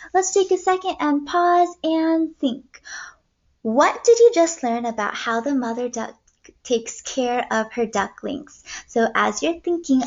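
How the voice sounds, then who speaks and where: A young woman teacher reading aloud to kindergartners in an expressive storytelling way, recorded indoors on a computer mic. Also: on the high side, dull audio. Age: young adult. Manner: expressive, clearly paced storytelling for children. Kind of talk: read-aloud lesson for young children. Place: small room at home, indoors.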